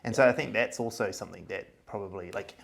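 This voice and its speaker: A man hosting a podcast, prompting his guest with quick yeahs and probing questions. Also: on the low side, creaky, crowd noise. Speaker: podcast host. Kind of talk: casual interview chat.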